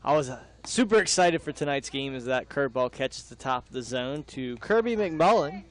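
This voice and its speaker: A man's voice: mid-range voice, expressive, normal speed. A young man doing live baseball play-by-play, mixing the calls with casual banter. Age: young adult.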